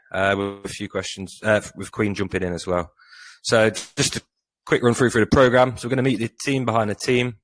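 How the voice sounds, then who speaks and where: A man hosting a live crypto community AMA, running through the programme in a brisk, rehearsed way with a few fillers. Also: on the low side, fairly bright tone, no room sound, rough recording. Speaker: AMA host.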